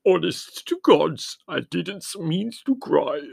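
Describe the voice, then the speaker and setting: A man voicing a big, gruff Greek man speaking slow, broken English with a quaver. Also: fairly low pitch, a bit bright, no room sound, studio quality, heavily acted. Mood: tearful.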